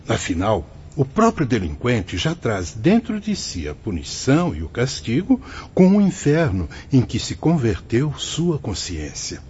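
A benevolent spiritual priest in a Brazilian radio drama. He teaches in solemn, formal, measured phrases with very lively intonation. The recording is dull, with faint noise behind it. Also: mid-range voice. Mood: gentle, instructive. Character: benevolent priest guide in a spirit-world reformatory.

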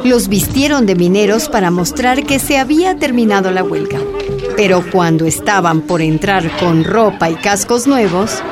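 A woman's voice: mid-range voice, animated, moderate pace, loud music behind the voice, lightly acted. A woman narrating a scripted radio drama as an earnest storyteller.

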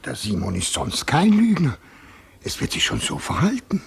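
An actor playing a blunt, wry manservant with a husky, creaky voice and a mocking manner in a radio play. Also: male voice, fairly high pitch, huge pitch swings, full character voice. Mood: dry, grumbling. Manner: mocking, drawn-out wordplay. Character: blunt, wry manservant grumbling at his lord. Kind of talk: theatrical radio drama acting.

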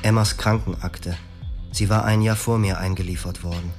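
A haunted husband tells his own story in the first person, with an intense, brooding delivery. His voice sits fairly low. Faint music runs underneath. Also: fairly even intonation, normal speed. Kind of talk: radio drama monologue.

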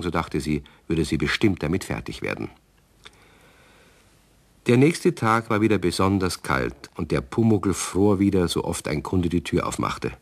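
A man narrating a children's radio story calmly, speaking to young listeners. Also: sits low.

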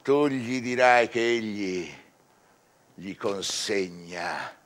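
A man's voice: fairly low pitch, very slow, a bit bright. An actor playing a proud scholar who bargains his soul with the devil, declaiming theatrical verse with dramatic swells and drops in a radio drama. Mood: imperious, solemn.

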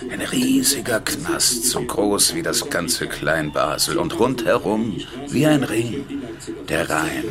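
A man's voice: low-pitched, sing-song, slow pace, over a noisy crowd, full character voice. An actor playing a lonely, bitter drunk man in a radio play, rambling and crude in a slurred voice. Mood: maudlin, bitter. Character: maudlin, bitter drunken male barfly, lonely and ranting. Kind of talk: German radio play acting.

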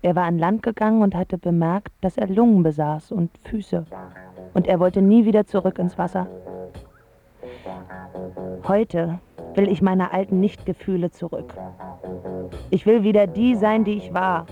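A young woman playing a lovesick, sulky teenage girl from a wealthy family in a radio drama monologue, sardonic and husky, in clipped phrases. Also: medium pitch, steady tone, measured pace, long pauses, phone-quality sound, over music, lightly acted. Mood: wistful, rueful.

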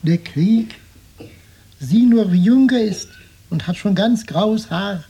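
An actress playing an injured village farm worker in a radio drama, with a husky voice. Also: female voice, medium pitch, animated, slow, dark tone, heavily acted. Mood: weary, sombre.

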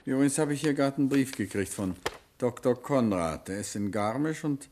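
An actor playing a composed, inquisitive detective and affectionate husband with dry understatement in a 1950s radio play. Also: male voice, medium pitch, lively intonation, a little fast, darkish tone, a little hiss or hum, close to an everyday voice. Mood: businesslike.

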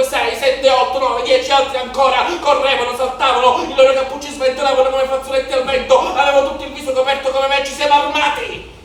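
A man's voice: very high-pitched, a bit bright, distant mic. Young male rioter, very echoey hall. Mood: frenzied, exhilarated. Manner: incantatory, repeating phrases in lists. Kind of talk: theatrical stage monologue. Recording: echoey, noisy live theatre recording from afar.

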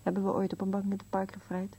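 Female actor as querulous, uneasy old wife in a radio play: gravelly, muted tone, short insistent questions, often near whisper. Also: medium pitch, monotone, fast talker, warm tone, muffled recording, slight background noise, natural voice. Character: anxious, querulous old wife, cold and confused. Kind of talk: absurdist radio play dialogue.

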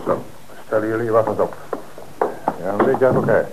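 Man playing a police commissioner, calm, commanding, low voice, hissy old radio play. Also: brisk, natural voice. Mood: businesslike. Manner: calm, directing.

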